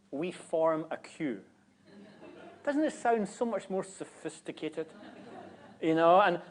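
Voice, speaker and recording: A man's voice: high-pitched, a little mellow. A man with a Scottish accent, a guest preacher giving a joking, chatty sermon in a church. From Scotland. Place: church sanctuary.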